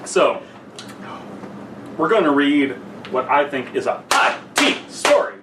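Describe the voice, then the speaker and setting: A man's voice: on the high side, sing-song, slow pace. A man co-hosting a podcast in casual, playful group chat, easygoing and sweary. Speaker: podcast co-host. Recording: roomy, distant mic, background noise.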